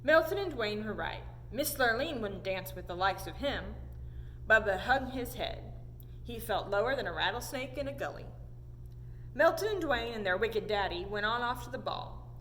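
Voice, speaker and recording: Animated woman reading aloud in an echoey hall. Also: on the high side, normal speed. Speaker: story time reader. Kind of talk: animated storybook read-aloud. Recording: echoey hall, audible background noise.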